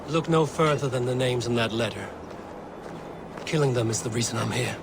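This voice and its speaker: An actor playing a resolute, grim and forthright Viking warrior in a dramatic video game. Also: male voice, medium pitch, normal speed, background noise, natural voice. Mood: earnest, assured.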